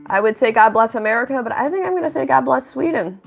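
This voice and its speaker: A woman's voice: high voice, animated, fast talker, creaky, faint music behind. A young woman livestreaming her drawing, chatting casually and upbeat, thanking viewers and reading their messages aloud. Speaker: livestreaming artist.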